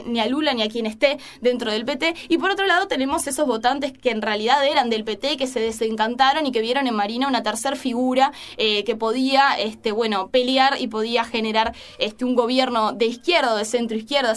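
A woman giving a conversational political analysis, hesitant, with many fillers and restarts. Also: on the high side.

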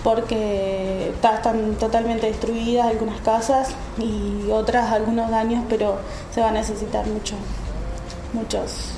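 A young woman with a Uruguayan accent, a student volunteer telling her story in a radio interview, halting with many fillers and restarts. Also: on the high side, level tone, roomy sound, noisy background. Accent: Uruguayan Spanish. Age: young adult.

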